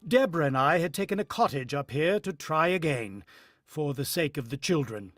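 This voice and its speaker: A man playing a reflective war-veteran farmhand, narrating a radio drama in the first person with measured delivery. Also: fairly high pitch, animated, a bit bright, no room sound. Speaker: actor narrating as the lead character.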